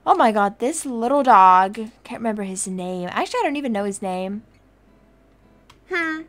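A woman's voice: medium pitch, animated, normal speed, fairly bright tone, close to an everyday voice. A woman playing a brazen, self-satisfied kidnapping mother in a video game roleplay, breezy and muttering to herself. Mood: annoyed, breezy.

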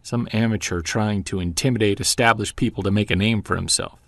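A man playing a distraught, defensive estranged husband in an audio drama, halting and agitated. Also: lowish voice, a bit bright, no echo. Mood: worried, defensive.

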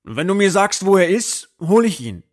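A man acts out an everyday guy in a radio play, chatting in a colloquial, conversational way. His voice is high for a man. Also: very animated, normal speed. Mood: casual, pushy. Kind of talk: audio drama dialogue.

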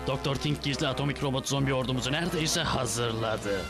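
A man voicing a scheming, commanding old supervillain with a gravelly, creaky voice in a cartoon dub. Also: medium pitch, a little fast, music in the background, close to an everyday voice.

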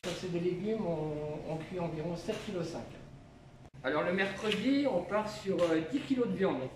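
A man in his fifties, a school canteen cook, calmly explaining his work to children with plenty of fillers, recorded on a camera nearby in a kitchen. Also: high-pitched, normal speed, noisy background. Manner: plain, patient, with many fillers. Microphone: camera held by children nearby.

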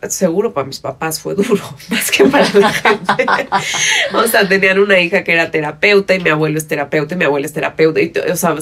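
Creaky, low-pitched Mexican woman chatting. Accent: Mexican Spanish. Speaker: podcast guest, fitness instructor. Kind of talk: casual conversational interview. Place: small padded podcast studio. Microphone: studio microphone on boom arm.

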